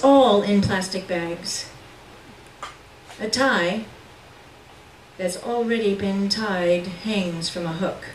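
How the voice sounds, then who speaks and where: Slow-reading woman in a roomy space. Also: a little high, darkish tone. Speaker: reader at a literary reading. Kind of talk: live reading of literary fiction in second person. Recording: roomy, distant mic with faint noise.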